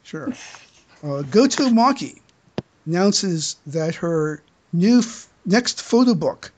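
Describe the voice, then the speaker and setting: A man hosting a casual podcast news segment, with a husky voice and a halting delivery that repeats words. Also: high-pitched, expressive, a little hiss or hum. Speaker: podcast host reading news items.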